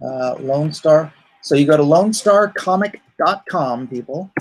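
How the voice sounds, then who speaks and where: A man's voice: a little high, lively intonation, measured pace, poor audio. A man hosting a casual livestream as a comic creator, rambling and thinking aloud as he talks through his campaign.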